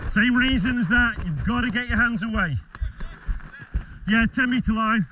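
A man refereeing a rugby match outdoors, calling loud commands to players with quick apologetic asides. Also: extremely high pitch. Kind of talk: on-field refereeing calls. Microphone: body-worn camera mic. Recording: distant wind-exposed body-cam audio.

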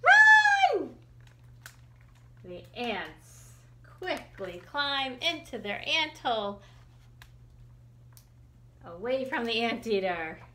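A middle-aged woman reading a picture book for children, expressive and putting questions to young listeners. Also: on the high side, slow, pauses often, reverberant, slight background noise. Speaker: children's librarian, storytime reader. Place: small room indoors.

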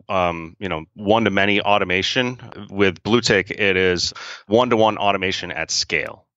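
A man from upstate New York, a guest on a podcast, explaining his software and his background at length with a dry sense of humor. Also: sits low, no room sound, lo-fi sound. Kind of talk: casual interview answers.

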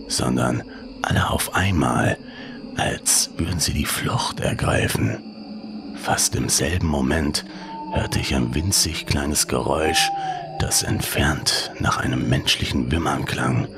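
Man, extremely low voice, gravelly fry, scripted horror narration, suspenseful and measured, music underneath.

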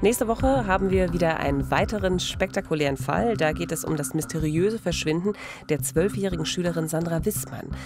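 A woman's voice: on the low side, fairly quick, few pauses, music in the background. A woman presenting a true-crime podcast conversationally, close on a studio microphone. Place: small radio studio. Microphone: close studio microphone, headphones.

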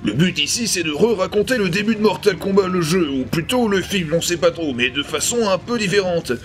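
A man's voice: sits high, expressive, brisk, faint music behind. A man in his twenties hosting a comedy review, narrating a script in an ironic, slangy way. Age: 20s. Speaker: host of a comedy review show.

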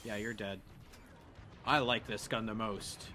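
A man's voice: on the low side, fairly even intonation, a little slow. A man doing casual let's play commentary, offhand and playful, often talking to himself. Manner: offhand, muttering to himself, playful sound effects. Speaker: gaming video host. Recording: game battle noise behind voice.

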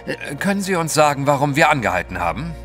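An actor playing a courteous, formal British gentleman adventurer in an audio drama. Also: fairly high pitch, very animated, fast talker, faint music behind. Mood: polite, composed. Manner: polite, formal.

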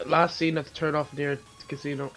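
A man's voice: on the high side, level tone, a little fast, fairly bright tone, faint music behind. A man playing a police roleplay game, giving casual radio chatter.

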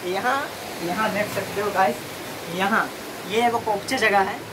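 A man's voice: high-pitched, fairly even intonation, unhurried. Chatty man vlogging beside a roaring waterfall. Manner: chatty, rambling, laughs often. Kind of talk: casual travel vlog talk. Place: outdoors by a waterfall. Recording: loud hiss of falling water.

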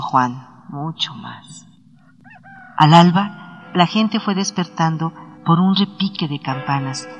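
A man's voice: fairly high pitch, close to an everyday voice. A man acting as a villager who recounts a dead town's tragic past in a radio drama. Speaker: storyteller in an audio drama. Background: music.

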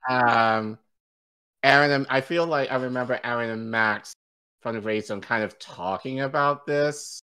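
An American man in his forties giving casual political commentary as a co-host, halting, colloquial and profane. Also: mid-range voice, pushed and bright, clear, resonant tone. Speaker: news show co-host. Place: indoor room at home, video stream.

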